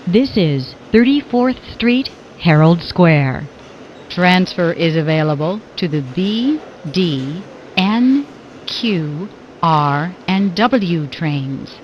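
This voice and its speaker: A woman delivers scripted transit announcements at a slow, measured pace. Her voice sits slightly low, and the phrases are formal and clipped, as if pieced together. The recording is a little dull and lo-fi. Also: lively intonation, slight background noise. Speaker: subway train announcer.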